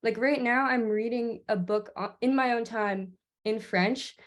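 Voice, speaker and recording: A woman's voice: on the high side, steady tone, unhurried. A teenage girl student on a video call, talking reflectively with many fillers. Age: teens. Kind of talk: panel testimonial.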